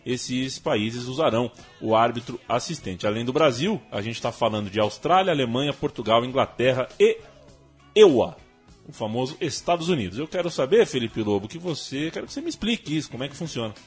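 A man's voice: mid-range voice, expressive, fairly quick, husky, faint music behind. A man from Brazil hosting a casual sports talk show, thinking aloud and restarting his phrases often. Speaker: host.

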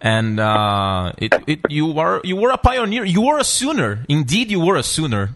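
A man's voice: fairly high pitch, wildly swooping pitch, moderate pace, a bit bright. Hesitant male interviewer. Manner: hesitant, stammering starts. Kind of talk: casual interview questions.

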